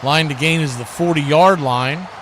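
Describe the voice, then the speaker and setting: Male sports announcer, fairly high forceful voice, clipped calls, faint noise behind. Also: measured pace. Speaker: play-by-play announcer. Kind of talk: live American football radio commentary.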